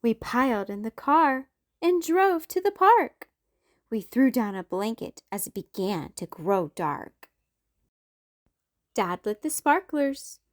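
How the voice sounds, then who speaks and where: A woman reads a rhyming storybook aloud to children. Her voice sits a little high and swoops all over, rising and falling with every line. She takes her time, unhurried and expressive. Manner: expressive, sing-song reading with big pitch leaps. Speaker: children's book reader.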